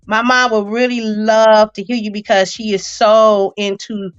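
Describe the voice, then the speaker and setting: A woman's voice: medium pitch, little pitch movement, measured pace, clear, resonant tone. A woman co-hosting a podcast, talking at length in a casual, rambling way. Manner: rambling, lots of fillers and restarts. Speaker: co-host. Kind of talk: casual interview chat. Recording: dull lo-fi audio.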